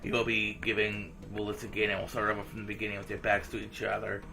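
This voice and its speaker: A man's voice: on the low side, little pitch movement, quick pace, gravelly. A man reading visual novel dialogue aloud as a game streamer, in a casual, informal manner. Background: visual novel game music.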